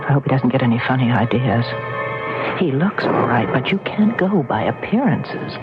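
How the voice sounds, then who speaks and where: A woman's voice: rumbling low, sing-song, normal speed, loud music behind the voice. An actress playing an anxious, suspicious, prim woman in an old-time radio drama, fretful and hesitant, on a muffled, noisy old recording. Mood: wary, inward. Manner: fretful, hesitant inner monologue and wary talk.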